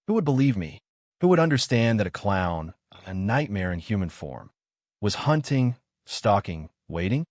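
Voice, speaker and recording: A man narrates a horror tale in short, suspenseful bursts, his lowish voice rising and falling sharply from phrase to phrase. The sound is close and dry, with no room around it. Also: dry recording. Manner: halting, suspenseful delivery in short broken phrases. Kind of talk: dramatic first-person story narration.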